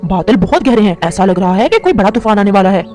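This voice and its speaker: A woman's voice: mid-range voice, expressive, fast talker, a little mellow, faint music behind, voice pushed down, natural voice. A woman playing a worried, reproachful father crow in a melodramatic cartoon story. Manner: reproachful, earnest.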